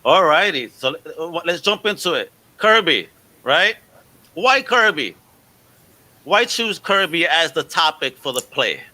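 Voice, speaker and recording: Bright, forceful male podcast host. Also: on the high side, big pitch swings, measured pace, no echo. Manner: hearty, exclamatory greetings and slang. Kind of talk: enthusiastic casual podcast interview.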